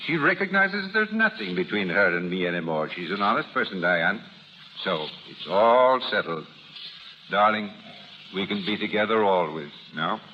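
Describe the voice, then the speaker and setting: An actor playing a devoted, impatient wealthy suitor in 1930s radio drama style. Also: male voice, on the low side, expressive, normal speed, lightly acted. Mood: tender, ardent. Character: devoted, impatient wealthy husband-to-be, tender and ardent. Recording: dull, noisy 1930s radio recording.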